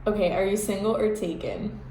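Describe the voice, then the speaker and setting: A woman's voice: mid-range voice, fairly quick, dark tone. Playful young woman in an echoey room. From born in Spain, raised in Miami. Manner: playful, joking, laughs often. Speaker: vlogger. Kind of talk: casual chat while doing makeup. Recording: echoey room with faint noise.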